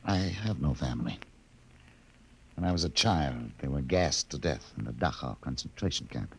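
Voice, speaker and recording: An actor playing a fatalistic, sardonic expedition doctor in a 1950s radio drama, speaking deliberately and philosophically. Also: male voice, a bass voice, animated, creaky, a bit bright, a little hiss or hum, heavily acted. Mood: bitter.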